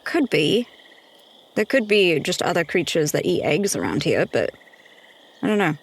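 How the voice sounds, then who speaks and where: A woman's voice: fairly low pitch, very animated, measured pace, husky, heavily acted. A woman playing a curious, frank, bookish adventurer in a tabletop game. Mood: puzzled.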